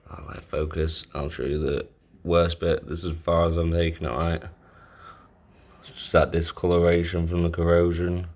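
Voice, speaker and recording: A man with a low-pitched voice narrates a hands-on repair job in a casual way. He thinks aloud as he works, often halting mid-sentence to find the next word, like an informal host showing each step. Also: little pitch movement, a little mellow. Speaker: host of a tape deck repair video.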